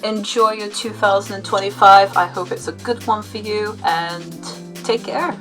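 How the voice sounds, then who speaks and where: A woman's voice: medium pitch, steady tone, normal speed, fairly bright tone, soft background music. A woman chatting casually and unscripted as a channel host, rambling, hesitant and self-deprecating. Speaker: YouTube channel host giving updates.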